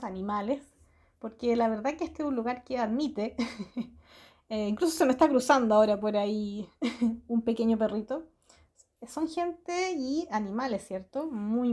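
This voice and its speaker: A woman's voice: a little high, a little mellow. A Chilean woman hosting a radio program, talking in a cheerful, spiritual monologue that she fills with tag questions and laughter. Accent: Chilean Spanish. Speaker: radio host. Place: small house in a hillside forest.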